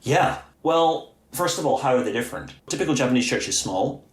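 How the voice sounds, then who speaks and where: A man's voice: mid-range voice, expressive, brisk. A man, a seminary teacher, thoughtfully explaining his work as a podcast guest, often restarting his sentences. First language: English. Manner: earnest, thoughtful, with frequent restarts. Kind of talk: conversational explanation. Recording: roomy echo.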